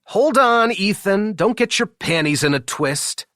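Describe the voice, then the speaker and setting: Man acting out a cocky, high-pitched teasing best friend. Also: lively intonation, measured pace, a bit bright, no echo, clean studio sound. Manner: brash, needling, jokey. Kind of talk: audiobook character dialogue.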